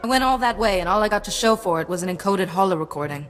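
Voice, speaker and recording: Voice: mid-range voice, lively intonation, fast, fairly bright tone, natural voice. Actor as a blunt impatient jedi, terse and cool, big reverb, faint music. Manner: terse, dismissive.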